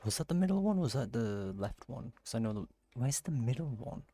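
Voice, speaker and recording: A man's voice: medium pitch, very animated, fairly quick, dark tone, no echo. A man giving casual commentary as he streams a game, musing aloud and asking himself questions. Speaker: gaming streamer.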